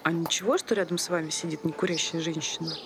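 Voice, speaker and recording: A woman's voice: low voice, fairly even intonation, fast talker. A woman playing a nosy, preachy dog lover who chats and scolds in a stage play. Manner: chatty, preachy, reproachful. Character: nosy, pushy dog-loving woman in a park. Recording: noisy stage recording with ambient sound.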